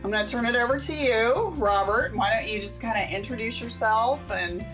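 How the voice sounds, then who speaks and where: A woman hosting a casual interview show, bubbly and enthusiastic, quick to laugh along with her guest. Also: on the high side, a bit bright, faint music behind. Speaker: show host.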